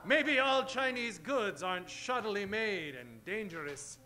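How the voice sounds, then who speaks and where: A man's voice: sits high, expressive, measured pace, music in the background. A man playing a pompous, bumbling, bigoted Western hero in a comic old-time radio play. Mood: self-important. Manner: hammy, pompous.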